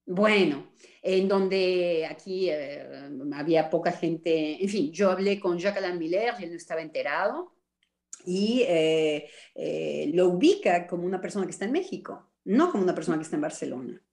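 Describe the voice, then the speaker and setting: A woman with a Mexican accent and a somewhat low voice speaks in a relaxed group discussion. She is ironic and animated, and she often pauses with hesitant fillers as she talks. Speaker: discussion participant. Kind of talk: casual discussion among colleagues.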